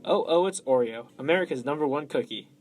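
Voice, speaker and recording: A man's voice: on the high side, expressive, fast talker, a little mellow, faint music behind, natural voice. A man voicing a folksy, earnest announcer in a 1920s radio cookie commercial. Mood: salesy. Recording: noisy amateur recording.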